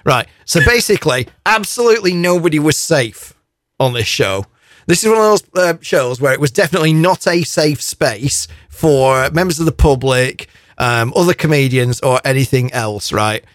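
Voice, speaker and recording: A man's voice: fairly high pitch, lively intonation, normal speed, fairly bright tone, dry recording, clean studio sound. A man hosting a radio show in casual on-air chat, rambling with restarts and asides. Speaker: radio DJ host.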